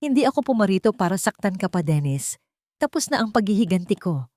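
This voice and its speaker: A woman voicing a bitter, resolute betrayed wife in an audiobook drama, speaking in a cutting, measured, solemn way. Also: medium pitch, very animated, darkish tone, dry recording, studio quality, close to an everyday voice.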